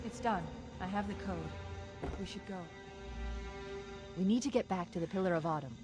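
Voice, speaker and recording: A woman playing a brisk, wry female warship AI in a video game, crisp and commanding. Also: mid-range voice, over music, lightly acted. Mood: brisk, focused. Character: female warship AI, brisk, urgent, dryly sarcastic.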